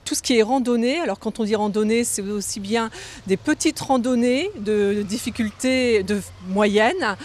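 A woman's voice: a little high, moderate pace, a little mellow. A woman, a tourism director explaining her work in an interview. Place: outdoors on a terrace by a car park. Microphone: handheld interview microphone held close. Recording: outdoor street noise.

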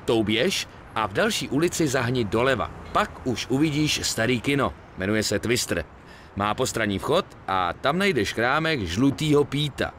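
A man's voice: medium pitch, expressive, a bit bright, slight background noise, close to an everyday voice. A man voicing a weary, streetwise middle-aged gangster in a Czech video game dub. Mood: businesslike. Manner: colloquial, grumbling, swearing.

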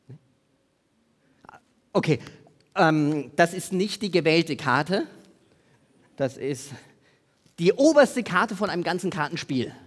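A man in his forties presenting a live magic act on a theatre stage, with playful, showmanlike patter and deliberate pauses. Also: fairly high pitch. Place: large theatre stage with seated audience. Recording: music playing behind the voice. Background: music.

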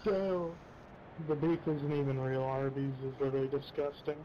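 A man's voice: medium pitch, moderate pace. A man on a race stream speaking bluntly and with strong opinions. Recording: dull lo-fi audio, faint noise.

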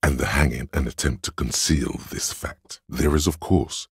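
A man voicing a detective's coolly analytical inner voice of logic in a video game, gravelly and husky, with measured, deliberate delivery. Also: very deep voice, dry recording, studio quality, heavily acted. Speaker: game voice actor. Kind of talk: scripted video game narration.

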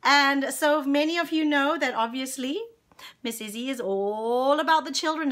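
A woman in her forties, from Zimbabwe, hosting a children's singalong live from home on a webcam, chatting slowly and cheerfully and greeting viewers by name. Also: high-pitched, a bit bright. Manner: slow, cheerful, affectionate, talks to viewers by name. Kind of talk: casual live-stream chat and singing. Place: home room with plants and curtains. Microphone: webcam at desk distance.

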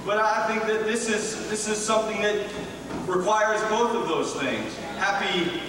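An American man in his thirties hosting on stage, with theatrical, mock-solemn comic delivery and halting pauses. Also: sits high. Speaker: host of a church Easter show. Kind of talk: comedic stage emceeing. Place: large hall stage before an audience. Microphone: handheld-type mic on a stand, picked up from afar. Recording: echoey hall from far off, crowd noise.

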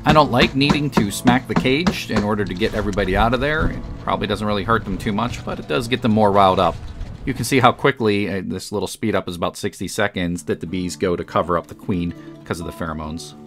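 A man with a fairly low voice narrates in a relaxed, matter-of-fact way, explaining each step as he goes. His voice-over sits over clearly audible background music. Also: quick pace. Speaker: beekeeper and channel host. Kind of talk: voice-over narration of a hive install.

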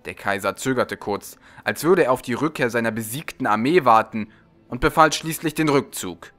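A man's voice: medium pitch, normal speed, a bit bright, faint music behind. Male audiobook narrator, mid-range voice, scripted fantasy storytelling. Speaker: audiobook reader.

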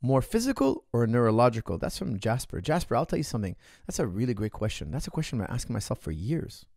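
Gravelly-voiced coach, close studio mic. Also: male voice, medium pitch, fast talker, no echo. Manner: animated, gesturing, emphatic. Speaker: martial arts coach. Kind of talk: podcast answering a listener question. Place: small indoor studio. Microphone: studio mic on boom arm.

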